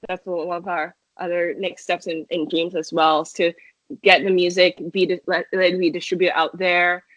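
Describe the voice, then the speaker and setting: A woman's voice: lowish voice, level tone, normal speed, dry recording. A young woman from Malaysia, a metal band singer, answering interview questions in a chatty way full of fillers and restarts. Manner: chatty, many fillers and restarts, laughs often. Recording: dull lo-fi sound, dry.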